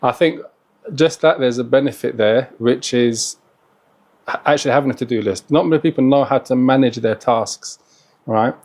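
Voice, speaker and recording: A man's voice: medium pitch, normal speed, darkish tone. A man hosting a casual interview, asking questions in a friendly way and filling in with short encouraging replies. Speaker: host, interviewer.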